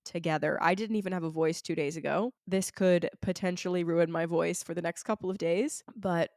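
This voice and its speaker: A woman podcast host, sick with a raw, hoarse throat, chatting candidly and with self-deprecating humor from home. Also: on the low side, steady tone, a little fast, a little mellow, no room sound, studio quality. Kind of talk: casual solo podcast chat. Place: at home, not in a studio.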